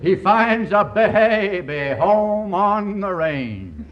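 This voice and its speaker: Through a dull vintage radio recording, a glib American screenwriter. His high-pitched voice has a wry, dramatic pitchman's delivery from forties comedy. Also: sing-song, very slow, slight background noise, heavily acted. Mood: mock-dramatic. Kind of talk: 1940s radio comedy acting.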